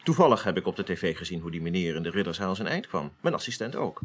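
An actor playing a courteous, assured forensic expert explaining findings in a 1980s Dutch radio drama. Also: male voice, low-pitched, very animated, fast, fairly bright tone, full character voice. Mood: composed, explanatory.

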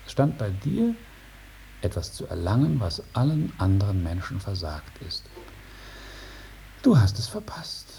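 An actor playing an aloof old male dragon in a 1950s German radio drama, speaking in a drawn-out, unhurried and repetitive way. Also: fairly low pitch, huge pitch swings, vocal fry, mellow, heavily acted. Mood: detached, regretful. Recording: noisy 1950s radio recording.

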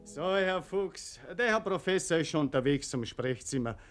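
A folksy, talkative Austrian prison warder. His voice sits somewhat high for a man and swings up and down freely. He sounds familiar and chatty, in radio-play style. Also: lively intonation, moderate pace, fairly bright tone, soft background music. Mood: friendly, curious. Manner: garrulous, folksy. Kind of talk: German radio play acting.

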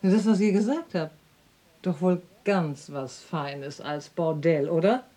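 A woman's voice: fairly low pitch, takes it slowly, dark tone. An actress playing an earthy, mocking, pious servant woman in a radio drama, scolding and down-to-earth. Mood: indignant, nosy. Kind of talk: German radio drama acting.

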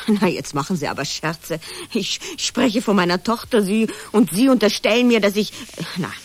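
A woman's voice: medium pitch, moderate pace, close to an everyday voice. An actress playing an indignant middle-aged housewife and mother in a satirical radio drama, huffy and complaining. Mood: flustered, indignant.

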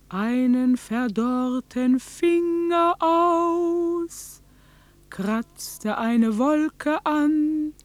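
A high-pitched old countrywoman in a radio play, stubborn and curt. The recording is close and dry, and she insists on her rights with fierce defiance. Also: a little mellow, dry recording. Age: older. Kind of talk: German radio drama acting.

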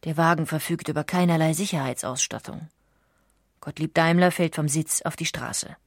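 A woman reading scripted documentary narration in a measured, factual way. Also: low voice, dry recording, clean studio sound.

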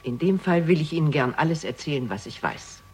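A frank middle-aged widow, husky voice, swings up and down a lot, radio drama. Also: female voice, sits low, lively intonation, brisk, darkish tone. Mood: candid, wistful. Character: candid, quick-witted middle-aged widow of a poet. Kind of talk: 1960s German radio crime drama.

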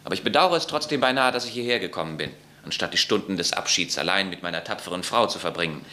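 An actor in a radio play voicing a patriotic young officer son, speaking earnestly and declamatorily. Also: male voice, low-pitched, lively intonation, fast, sharp tone. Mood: resigned.

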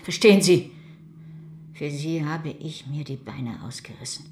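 A woman's voice: low-pitched, a little slow, darkish tone, a little hiss or hum. A woman playing a hardened older mother in a radio crime drama, speaking with cold, reproachful severity. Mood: bitter, self-pitying.

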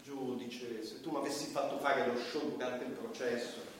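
An actor playing a defiant, impassioned satirical comedian on trial, emphatic and repetitive in a theatrical stage style. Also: male voice, medium pitch, steady tone, moderate pace, lightly acted. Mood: defensive, insistent. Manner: emphatic, repetitive, preaching against hypocrisy and power. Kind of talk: theatrical stage acting, fiery monologue. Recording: echoey distant stage recording, faint noise.

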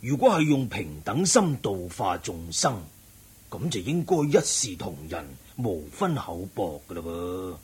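An actor playing an eminent, wealthy old layman who lectures in a measured way, in Hong Kong Cantonese radio drama. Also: low-pitched, very animated, husky, exaggerated voice. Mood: solemn, admonishing. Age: older. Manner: measured, preaching.

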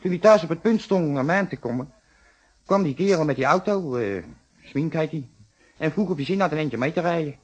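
A grumbling working-class fellow in a radio crime drama. His voice sits fairly high for a man, with slangy Netherlands Dutch and a brusque, defensive manner. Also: expressive, brisk, husky. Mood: cagey. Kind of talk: Dutch radio detective play acting.

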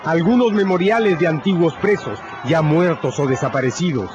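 A man delivering dramatic scripted narration for a radio novel, solemn and emphatic, with deliberate phrasing. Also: fairly high pitch. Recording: dull lo-fi radio production, loud music.

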